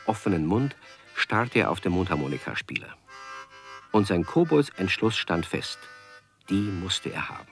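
A man's voice: low-pitched, dry recording, heavily acted. A man narrating a children's radio play as a storyteller, in scripted storybook style. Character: male storyteller of a children's kobold tale.

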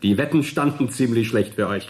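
An actor playing a jovial, smug space program chief in a German radio play, with a hearty, patronizing manner. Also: male voice, mid-range voice, fairly quick, darkish tone, lightly acted. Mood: smug, congratulatory.

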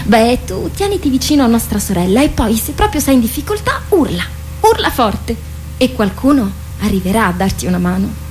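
An actress in a radio drama playing a boastful, impatient teenage warrior girl, bossy and excitable. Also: a little high, a little fast, soft background music. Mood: impatient, taunting.

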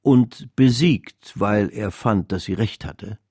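An actor narrating a literary radio play as a measured storyteller. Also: male voice, lowish voice, darkish tone, husky, no room sound, clean studio sound.

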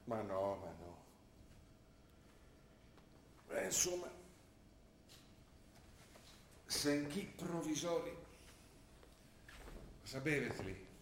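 A man's voice: medium pitch, big pitch swings, unhurried, reverberant, slight background noise. An actor playing a plain-spoken, worried provincial father in a stage drama, with a Trentino accent and hesitant, muttering delivery. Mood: weary, evasive. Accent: Trentino, northern Italian. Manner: hesitant, grumbling, with short muttered replies. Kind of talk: naturalistic dialect stage acting.

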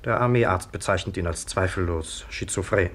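An actor in a 1950s radio play playing a brisk, stern police sergeant with an official, insistent manner. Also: male voice, low-pitched, a bit bright. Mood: factual, grim.

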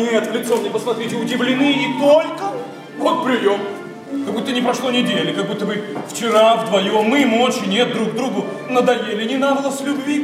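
A man's voice: very high voice, distant mic. An actor playing an ardent, impatient young nobleman, exclaiming eagerly in stage verse. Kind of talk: classic stage verse acting. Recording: very echoey stage from afar, music behind.

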